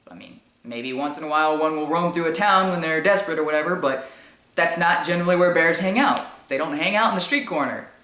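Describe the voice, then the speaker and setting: A man with a high-pitched voice giving informal opinion commentary in an explanatory, argumentative way with emphatic repetition. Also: fairly quick, pushed and bright. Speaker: commentary show host. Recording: echoey room sound.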